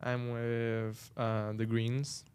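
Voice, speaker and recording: Teen boy, smooth flat delivery, short replies. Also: mid-range voice, drawn-out words. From Brazil. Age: teens. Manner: short, soft-spoken answers. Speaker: exchange student guest.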